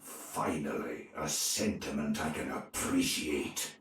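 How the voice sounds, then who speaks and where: A gruff, battle-weary knight from a video game. His very deep voice is blunt and drawn out, coming from a distance. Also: slow, a bit bright, heavily acted. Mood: grave approval. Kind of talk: dramatic video game cutscene acting. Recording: distant, echoey, faint noise.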